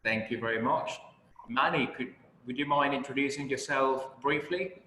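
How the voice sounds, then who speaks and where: A man's voice: mid-range voice, normal speed, pushed and bright. A man moderating a webinar politely and informally, handing over to each speaker. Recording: roomy, noisy web call.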